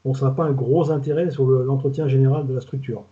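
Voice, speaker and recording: Older man, southern French accent, retired inspector type; rapid, rambling, colloquial delivery, mellow tone, lo-fi call audio. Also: mid-range voice, flat delivery. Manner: fast, rambling, colloquial, stumbles and repeats words. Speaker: retired food safety inspector, guest expert. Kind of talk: casual webinar talk. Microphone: video call. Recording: dull lo-fi video call audio.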